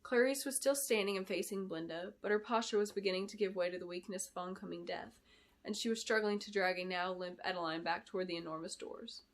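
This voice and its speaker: A woman narrating a fantasy novel in an expressive, deliberate storytelling style, as an author reading aloud. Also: mid-range voice. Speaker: author reading her book. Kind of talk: dramatic scripted fantasy narration.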